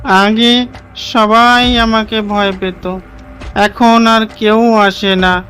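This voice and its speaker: A man's voice: sits high, little pitch movement, extremely slow, bright, forceful tone, faint music behind, pitched above the performer's own voice, exaggerated voice. A man voicing a friendly, bored and lonely retired skeleton ghost, proud and helpful, for a cartoon story. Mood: wistful. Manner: proud, mildly irritated, helpful.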